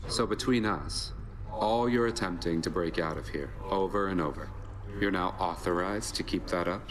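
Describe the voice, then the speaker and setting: An actor playing a dry, reserved young god of death in a video game, cool, deadpan and restrained. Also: male voice, sits low, moderate pace, lightly acted. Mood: dry, skeptical. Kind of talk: video game character dialogue.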